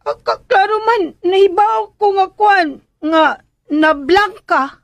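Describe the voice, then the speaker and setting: An actress playing a cheeky, pleading young caretaker in a radio drama, blurting things out in hesitant, chatty back-talk. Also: female voice, extremely high pitch, takes it slowly, dry recording, exaggerated voice. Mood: flustered defensive.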